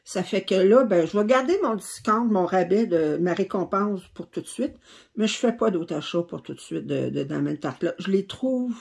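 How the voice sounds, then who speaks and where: A woman's voice: mid-range voice, expressive, normal speed, vocal fry, darkish tone, husky. A middle-aged woman with a Québécois French accent, hosting a craft channel and chatting casually to camera with many fillers and restarts. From Quebec, Canada.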